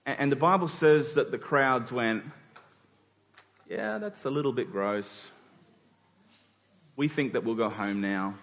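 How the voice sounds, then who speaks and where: A man's voice: mid-range voice, a little slow, pauses often, a bit bright. A man preaching a conversational sermon in an informal way, acting out dialogue in everyday words. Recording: long hall reverb.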